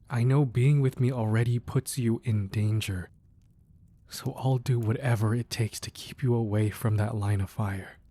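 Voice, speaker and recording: A man playing a protective, ruthless crime boss who is tender with his wife, speaking softly and earnestly in an intimate romantic ASMR roleplay. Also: fairly low pitch. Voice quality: soft, husky, breathy. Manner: earnest, measured, firm yet affectionate.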